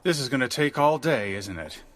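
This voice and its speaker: An actor playing a reluctant, grumbling sidekick in a radio drama, skeptical and wry. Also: male voice, mid-range voice, animated, moderate pace, natural voice. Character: reluctant, grumbling male sidekick to a police commissioner.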